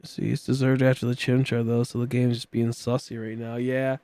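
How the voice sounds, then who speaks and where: A man's voice: medium pitch, flat delivery, a little fast, dark tone, clear, resonant tone, dry recording. A man in his late twenties chatting casually while streaming a game, rambling and joking about himself as he talks to viewers and a friend. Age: 20s. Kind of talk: casual livestream chat while gaming.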